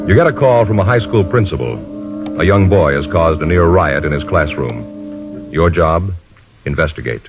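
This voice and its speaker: Male American 1950s radio announcer, lowish earnest voice, dull archival recording. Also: animated, moderate pace, over loud music.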